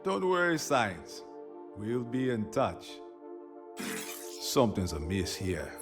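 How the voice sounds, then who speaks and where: A man's voice: fairly low pitch, big pitch swings, takes it slowly, music in the background, full character voice. A man playing a wary, terse field operative in a video game, dry and dismissive. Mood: guarded, suspicious. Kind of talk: video game cutscene acting.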